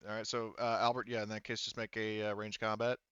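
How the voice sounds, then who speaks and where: A man with a fairly low, clean voice runs a tabletop game as its game master. He talks casually and haltingly, working things out aloud. The sound is dry and a little dull. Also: little pitch movement, a bit bright, clean tone, dry recording.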